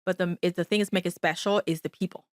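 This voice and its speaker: A woman from Vietnam speaks English with a Vietnamese accent, pausing and restarting as she searches for words. Her voice is low for a woman. The recording is close and dry. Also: steady tone, no echo. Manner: halting, non-native grammar, frequent "uh" and restarts. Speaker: coffee shop owner, guest. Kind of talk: casual interview chat.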